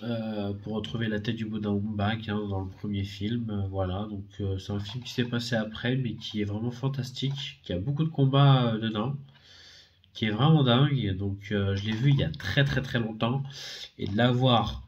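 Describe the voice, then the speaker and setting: A man's voice: on the low side, steady tone, moderate pace, darkish tone, dull audio. A man with a head cold, talking casually and hesitantly, with many fillers and self-corrections, as he shows secondhand discs from his collection. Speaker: DVD and Blu-ray collector. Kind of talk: casual unboxing video.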